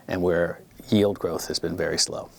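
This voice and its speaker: A man with a very deep voice that has a heavy gravelly edge. He speaks in a measured, explanatory way as a conference expert, laying out his points one by one. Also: steady tone. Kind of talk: interview remarks.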